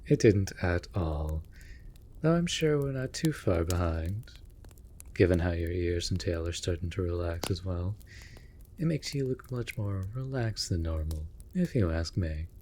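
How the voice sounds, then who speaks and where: Man playing a slow-talking, cheeky raven familiar. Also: deep voice, lively intonation, warm tone, a little hiss or hum. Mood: drowsy, affectionate. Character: male raven familiar, cheeky, affectionate winged teaser. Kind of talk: scripted comfort audio roleplay.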